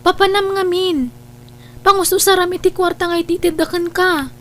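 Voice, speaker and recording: A woman's voice: very high voice, fairly even intonation, moderate pace, fairly bright tone, slight background noise. A woman playing a dutiful, worried young student in a radio drama, earnest and reproachful. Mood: scolding. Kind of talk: Ilocano radio drama acting.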